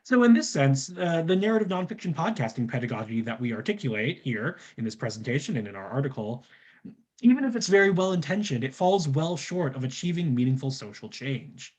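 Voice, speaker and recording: A man's voice: medium pitch, sing-song, fairly quick, darkish tone. A man giving a scripted academic presentation as a researcher, in an earnest, formal scholarly manner.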